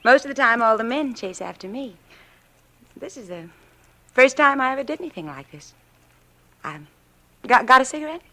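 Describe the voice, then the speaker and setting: Bright, animated, coy flirt. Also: female voice, sits high, normal speed, long pauses. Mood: coy, forward. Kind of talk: old-time radio drama acting.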